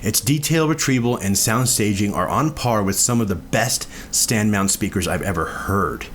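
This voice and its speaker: A man's voice: lowish voice, lively intonation, normal speed, fairly bright tone, a little hiss or hum. A man reading a scripted product review as a narrator and reviewer.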